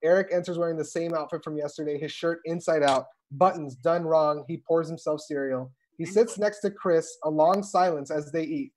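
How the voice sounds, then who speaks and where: A man's voice: fairly high pitch, steady tone, normal speed, few pauses, a little mellow. A man narrating a script at a table read, reading the stage directions aloud over a video call. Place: indoors at home on a video call. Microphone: video call microphone.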